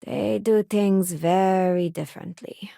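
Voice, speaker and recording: A woman's voice: medium pitch, slow pace, creaky, dark tone, dry recording, studio quality. An actress playing a principled, idealistic female crew companion in a space video game, speaking sincerely and conversationally. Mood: earnest, disillusioned. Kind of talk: acted game companion dialogue lines.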